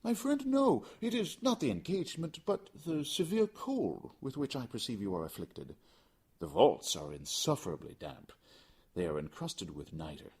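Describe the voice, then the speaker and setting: A man's voice: mid-range voice, sing-song, normal speed, a little mellow, husky, voice pushed up. A man voicing a vengeful nobleman in a gothic storybook, theatrical and falsely solicitous as he coaxes a friend. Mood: false concern. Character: vengeful nobleman feigning warm friendship, sly and coaxing.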